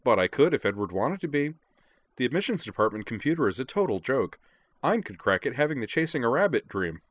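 A man voicing a high, exuberant eccentric hacker girl. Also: big pitch swings, a little fast, dry recording. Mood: grandly boastful. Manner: bouncy, blunt, grandiose. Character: eccentric exuberant young hacker girl, speaks in third person.

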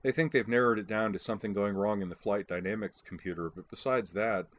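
A man's voice: fairly low pitch, fairly even intonation, fast talker, close to an everyday voice. A man voicing an affable male squadron officer in a storybook, speaking in a conversational, matter-of-fact way. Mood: explaining.

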